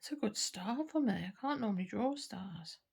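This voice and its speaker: A woman's voice: medium pitch, lively intonation, moderate pace, creaky, darkish tone, husky. A woman chatting casually to camera while she works on a craft kit, murmuring and trailing off, a little sniffly. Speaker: craft vlogger.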